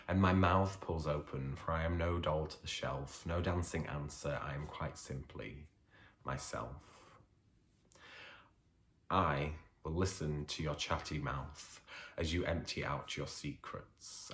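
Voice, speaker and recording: A man, working as a poet, gives a hesitant introduction full of fillers and then reads his poems aloud. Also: rumbling low. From Leeds, England. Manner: hesitant, unhurried talk with many fillers. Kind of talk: introduction and poetry reading.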